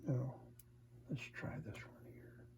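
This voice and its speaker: A man giving a casual hands-on repair demonstration, unhurried and murmuring to himself as he works. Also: warm tone, whispered. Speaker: vintage radio repair hobbyist, presenter. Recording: clear background noise, room ambience.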